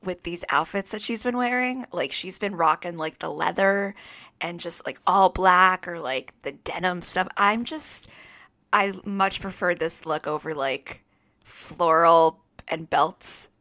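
Lady podcast host, bright forward voice, a bit low, rambly with lots of like. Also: a little slow, no echo. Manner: bubbly, rambling, many "like"s and restarts. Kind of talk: casual chat.

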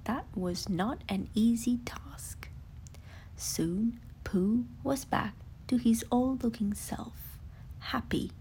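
A woman telling a bedtime story in a hushed, soothing whisper. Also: a little high, takes it slowly, slight background noise, low-quality recording.

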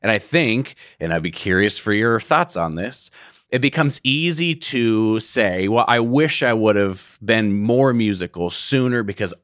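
A man in his thirties leads a conversational interview, with a lowish voice and a thoughtful, searching delivery. He keeps tagging his points with a quick "right?". The sound is dry and close, with no room around it. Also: animated, fairly bright tone, no room sound. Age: 30s. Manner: reflective, tags sentences with "right?".

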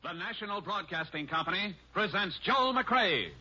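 A man announcing a 1950s radio drama in a formal, booming delivery. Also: animated, normal speed, slightly rough. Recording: lo-fi old radio with loud theme music.

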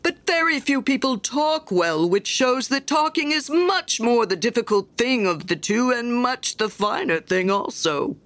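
A woman's voice: a little high, expressive, measured pace, few pauses, a bit bright, dry recording, voice pushed up. A woman voicing a pompous, self-righteous male miller who talks in a smug, preachy way. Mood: self-satisfied.

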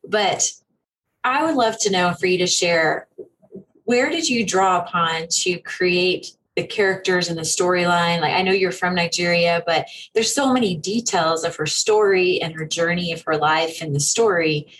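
A woman interviews her guest, asking questions in an enthusiastic, affirming way. Her voice sits slightly low. A faint noise runs under the recording. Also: normal speed, a bit bright, rough recording. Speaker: interviewer host.

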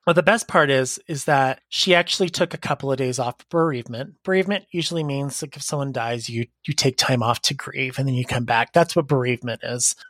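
A podcast host with a raspy voice, telling a heartfelt story and giving advice in a casual, rambling way. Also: medium pitch, fairly quick, hardly any pauses, fairly bright tone, no echo, studio quality. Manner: earnest, heartfelt, rambling with fillers. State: raspy voice today.

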